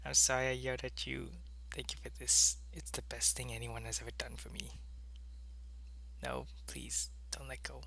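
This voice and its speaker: A man playing a devoted alien soldier in an ASMR audio roleplay, with a gravelly, earnest and deliberate delivery. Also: mid-range voice, level tone, pushed and bright. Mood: tender, grateful. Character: devoted alien soldier, gruff in battle, tender with his lover.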